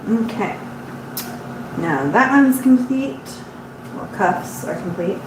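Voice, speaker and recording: A congested woman with a runny nose chats in a rambling way from her home sewing space. Her voice bounces around a very echoey room and sits some way off the mic. A steady hum of room noise runs underneath her. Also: a little high, slow pace, recorded from a distance. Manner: chatty and rambling, with frequent restarts. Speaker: embroidery shop owner. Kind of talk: casual live-stream chat while sewing.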